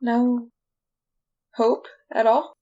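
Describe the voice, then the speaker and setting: A woman's voice: fairly high pitch, flat delivery, extremely slow, mellow, lo-fi sound. A woman playing a resigned, wry condemned prisoner in a 1940s-style radio drama, talking in a folksy, confiding way. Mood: resigned, bitter. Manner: colloquial, confiding. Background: music.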